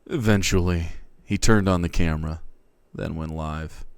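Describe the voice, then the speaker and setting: A man streaming, reading fan fiction aloud in a theatrical, comic way, shifting between hushed and loud. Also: low voice, moderate pace, smooth, no room sound.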